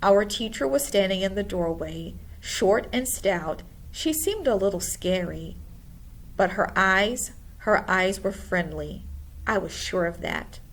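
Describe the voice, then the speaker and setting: A woman reading a storybook aloud in a deliberate, expressive way. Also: medium pitch, low-quality recording. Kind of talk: storybook read-aloud narration.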